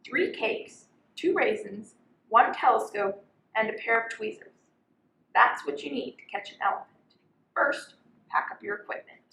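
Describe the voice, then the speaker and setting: Fairly high-voiced young woman in echoey room. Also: normal speed, vocal fry, fairly bright tone. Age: young adult. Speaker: volunteer storyteller. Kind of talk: children's picture book read-aloud. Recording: roomy, echoing sound.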